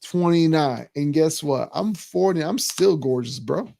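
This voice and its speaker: A man in his forties hosting a casual sports podcast, teasing and animated, often restarting his words. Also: fairly high pitch, unhurried, vocal fry. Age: 40s. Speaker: podcast host.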